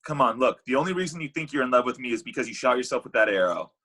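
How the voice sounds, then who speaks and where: A grown-up, sarcastic Cupid played by a man in a comedy table read. The voice is husky and bright, swinging freely up and down with a brash, defeatist edge. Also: medium pitch, lively intonation, rapid-fire.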